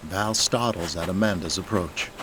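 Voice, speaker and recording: A man's voice: low-pitched, moderate pace, noisy background. A man reading scripted dramatic narration for an audio drama.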